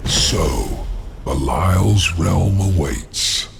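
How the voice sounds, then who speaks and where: A cunning, brooding demon lord, delivers ominous game dialogue slowly. His lowish voice crackles with gravel, every formal phrase patient and imperious. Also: over loud music, exaggerated voice. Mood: ominous, knowing. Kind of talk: video game cutscene acting.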